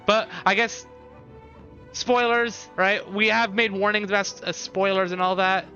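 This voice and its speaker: A man's voice: high voice, little pitch movement, measured pace, pushed and bright. A man giving casual, offhand live stream commentary, reacting to a game and chat, slightly dull sound with music behind. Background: faint game music.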